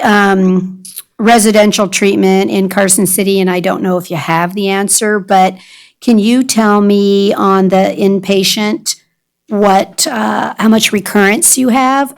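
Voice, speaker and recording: A woman board member at a public meeting, asking direct, persistent questions. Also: medium pitch. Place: government board meeting chamber.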